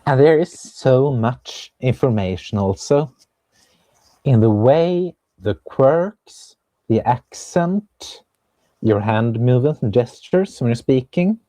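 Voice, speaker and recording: Young Norwegian-accented man, guest composer, slow and reflective, dry close sound. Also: mid-range voice, lively intonation, mellow, dry recording, poor audio. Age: young adult. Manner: thoughtful, searching for words with many pauses and fillers. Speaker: composer and viola player, guest. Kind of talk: casual conversation.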